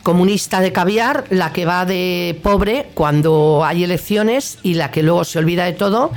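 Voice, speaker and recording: A woman's voice: lowish voice, normal speed. Indignant Castilian Spanish woman radio host. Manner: indignant, sarcastic, colloquial. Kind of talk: political opinion monologue.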